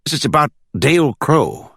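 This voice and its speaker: Audiobook young cop, male narrator acting: eager hot-shot bodyguard, deferential to his boss, pitched up, lively intonation. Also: moderate pace, vocal fry, slightly rough, no echo, clean studio sound, full character voice. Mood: deferential, urgent.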